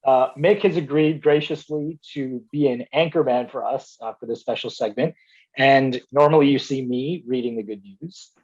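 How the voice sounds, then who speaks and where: A man's voice: medium pitch, normal speed, darkish tone, husky, dull audio. A man hosting a good-news show, chatty and enthusiastic as he introduces and praises a guest. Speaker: show host. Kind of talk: upbeat hosting banter.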